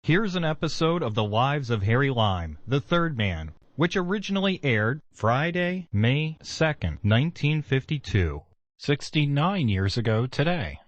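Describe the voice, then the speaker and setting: A man's voice: mid-range voice, big pitch swings, unhurried, no room sound. A man reading scripted radio station announcements. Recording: dry, slightly dull sound.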